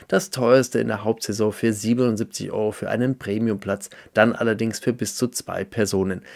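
A man in his thirties reviewing a campsite in a relaxed, chatty way, talking from inside his camper van with a clip-on mic. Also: fairly low pitch, gravelly, dry recording, clean studio sound. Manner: chatty, animated, gesturing with hands. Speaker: camping vlogger. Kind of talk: casual review vlog with voice-over. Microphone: small clip-on mic.

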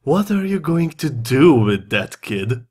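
An actor playing a callous drug dealer in an audio drama, mocking and cruel, with a drawling delivery. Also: male voice, mid-range voice, sing-song, takes it slowly, darkish tone, breathy edge, exaggerated voice. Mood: smug, mocking. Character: sneering, callous drug dealer taunting a boy. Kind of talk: audio drama villain acting.

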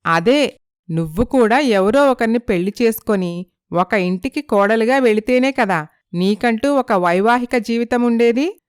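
A woman playing a sympathetic, gently persuasive young female friend in an animated moral story. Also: a little high, a little slow, clear, resonant tone, no room sound, clean studio sound. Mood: persuading.

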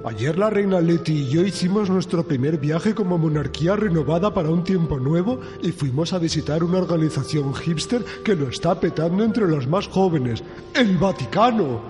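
Guy doing a whiny naive young king in a comedy sketch, high voice, lots of reverb. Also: expressive, moderate pace, a little mellow, soft background music, close to an everyday voice. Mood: naive, earnest. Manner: childish, obedient, literal-minded. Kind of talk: satirical radio-style sketch comedy.

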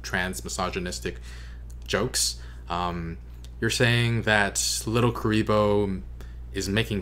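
Young guy doing casual reaction commentary, low voice, kinda halting and earnest, bit of room noise. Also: fairly bright tone. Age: young adult. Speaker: reaction video host. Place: small bedroom, indoors. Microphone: desk microphone.

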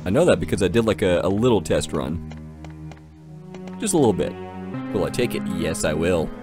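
A man's voice: low-pitched, animated, brisk, music in the background. A man giving casual let's play commentary, crude and exclamatory, swearing freely. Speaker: gaming video host.

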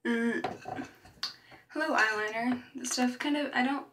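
A woman's voice: fairly high pitch, unhurried, roomy sound, recorded from a distance, background noise. A young woman chatting through a makeup tutorial, describing each step as she applies it, recorded in a small bedroom on the camera's built-in mic. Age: young adult. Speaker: makeup vlogger. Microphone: built-in camera mic at arm's length.

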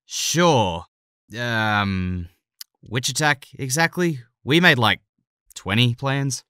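A woman's voice: extremely low pitch, animated, slow, a bit bright, clean tone, no echo, clean studio sound, heavily acted. A woman playing a brash villain's sidekick in an audio drama, flippant and slangy. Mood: flippant, sheepish. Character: brash, casual villain henchperson.

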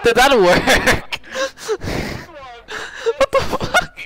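A young man chatting casually and excitedly with friends while gaming, swearing freely. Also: very high-pitched, very slow. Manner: excitable, laughs often, sweary. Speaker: gamer playing an online shooter with friends. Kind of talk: casual banter during gameplay.

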